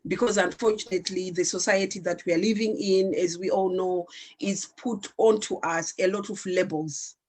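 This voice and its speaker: A woman from Zimbabwe whose first language is Shona, speaking on a panel in an earnest, reflective way with pauses and restarts. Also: on the low side, steady tone, darkish tone. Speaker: panelist, founder of an arts organization. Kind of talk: panel discussion.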